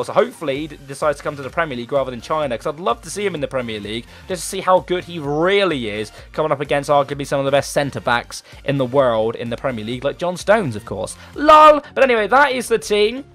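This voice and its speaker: A man's voice: on the high side, a bit bright. A young man with a sore throat, chatting casually about football players for his gaming channel. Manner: chatty, rambling, enthusiastic. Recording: faint background music.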